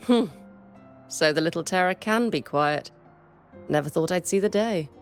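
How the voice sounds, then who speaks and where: A woman's voice: fairly low pitch, lively intonation, moderate pace, faint music behind. A woman playing a stern, dry, world-weary former teacher in a game, speaking in a composed, matter-of-fact way. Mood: wry, wistful. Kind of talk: scripted game dialogue.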